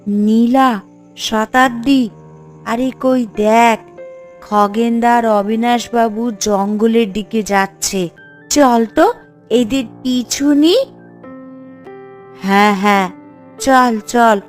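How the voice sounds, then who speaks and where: A woman playing an earnest, resolute village sister in a cartoon, with a deliberate way of talking. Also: fairly high pitch, fairly even intonation, faint music behind. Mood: alert. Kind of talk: cartoon voice acting.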